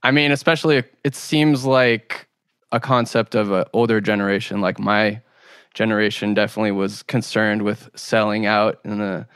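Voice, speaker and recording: A man's voice: lowish voice, steady tone, normal speed, fairly bright tone, smooth, dry recording, studio quality. A man hosting a podcast interview, speaking conversationally in a thoughtful, articulate way.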